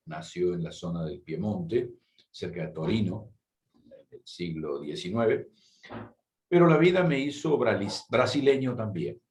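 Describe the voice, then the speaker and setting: An Argentine man with an Argentine Spanish accent giving his testimony as a missionary, relaxed, with joking asides. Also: deep voice, reverberant. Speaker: missionary speaker. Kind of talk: testimony talk.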